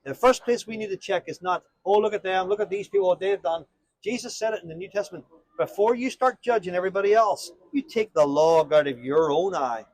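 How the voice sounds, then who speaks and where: A man's voice: high voice, fairly quick, darkish tone, no room sound, rough recording. A man speaking as a street evangelist, explaining earnestly and informally, often putting questions to his listener. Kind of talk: informal street preaching and conversation. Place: outdoors on a town street.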